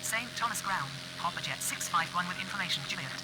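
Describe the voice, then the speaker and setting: Synthetic man as airline pilot, businesslike, fast clipped radio calls, simulator game, radio effect, clear noise. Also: high-pitched, animated. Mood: brisk, businesslike.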